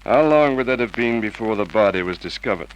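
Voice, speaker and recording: An actor playing a gruff, brusque police sergeant questioning people in an old radio drama. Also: male voice, lowish voice, lively intonation, brisk, muffled recording, slight background noise, lightly acted. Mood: brisk, probing. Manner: curt, questioning, commanding. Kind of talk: Golden Age radio drama acting.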